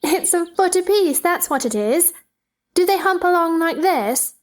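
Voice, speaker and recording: A curious little girl as a woman acts her out chatters away in a high voice. She is eager, imaginative and childlike, made for a storybook play. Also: lively intonation, measured pace. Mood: curious, playful.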